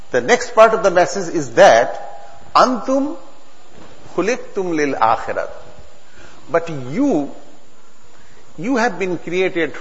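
Bangladeshi man preaching in a reverberant hall. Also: on the high side. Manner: earnest, halting, emphatic, repeating phrases in non-native English. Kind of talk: religious sermon to a congregation. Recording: dull recording in a long-reverb hall.

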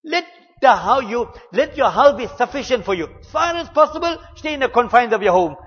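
A man delivers a measured, earnest religious talk in a high voice. His words ring out with a long hall echo. The sound is dull and muffled. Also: lively intonation, a bit bright, breathy edge. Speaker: Islamic preacher.

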